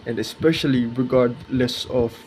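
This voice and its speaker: A twenty-year-old man talking casually and reflectively to his viewers in a vlog, halting and correcting himself as he searches for words. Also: mid-range voice, steady tone, a little mellow, slight background noise, poor audio. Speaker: art video creator.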